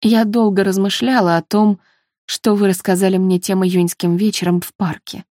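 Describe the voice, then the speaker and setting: A woman's voice: mid-range voice, little pitch movement, moderate pace, dark tone, no room sound, clean studio sound, lightly acted. A woman narrator voicing an earnest, troubled woman who speaks confessionally in a novel.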